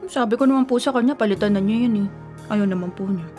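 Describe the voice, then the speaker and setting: A woman's voice: mid-range voice, fast, dark tone, faint music behind, lightly acted. An actress as a sweet-talking, self-centred young Filipina girlfriend. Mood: sweetly apologetic. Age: young adult. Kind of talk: Tagalog radio drama acting.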